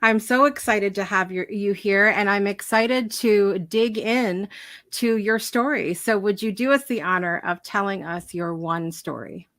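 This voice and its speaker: A woman's voice: medium pitch, measured pace, a bit bright. A woman presenting as a show host, delivering scripted introductions and interview questions.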